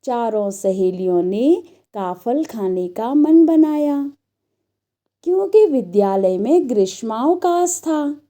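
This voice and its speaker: Very bright-voiced woman teaching children, high-pitched. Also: expressive. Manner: deliberate, instructional, addressing children directly. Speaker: teacher telling children a story. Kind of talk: slow scripted storytelling lesson for children.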